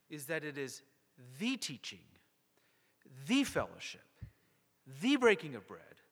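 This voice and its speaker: A male pastor preaching a sermon to a congregation, emphatic and rhetorical, with deliberate pauses. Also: on the high side, a bit bright. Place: church sanctuary. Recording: long hall reverb.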